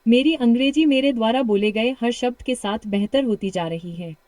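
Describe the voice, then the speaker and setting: A woman with a British accent coaching a shadowing practice lesson, full of encouragement and reading practice sentences slowly and repeatedly. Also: fairly high pitch, darkish tone, no echo. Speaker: English speaking coach.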